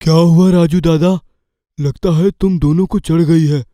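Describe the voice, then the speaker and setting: Actor as slow, mellow, plaintive tipsy young man. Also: fairly high pitch, steady tone, dry recording, clean studio sound, lightly acted. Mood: whiny, annoyed. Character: tipsy young man Bunty, whiny and easily scared. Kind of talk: Hindi horror audio story acting.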